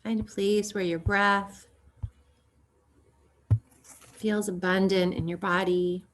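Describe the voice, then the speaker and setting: A woman teaching yoga nidra, guiding a relaxation meditation in a gentle, soothing, unhurried way with long pauses. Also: mid-range voice, flat delivery.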